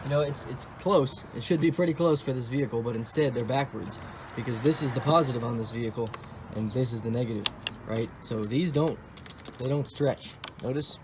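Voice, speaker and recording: A young man rambles through his car project in a loose vlog style, breaking off for sarcastic jokes. His voice sits at an ordinary middle pitch. Steady ambient noise hums under the recording. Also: brisk. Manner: wisecracking, sarcastic asides; offhand, thinks aloud while working on a car. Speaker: car vlogger. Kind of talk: casual vlog commentary.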